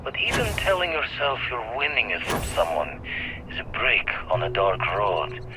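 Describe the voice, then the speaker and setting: An actor playing an old reformed space pirate turned astronomer, musing over the past in slangy storytelling for a video game. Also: male voice, fairly low pitch, very animated, moderate pace, music in the background. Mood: rueful, reflective. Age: older. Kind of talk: scripted monologue, game voice acting. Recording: dull lo-fi audio with clear noise.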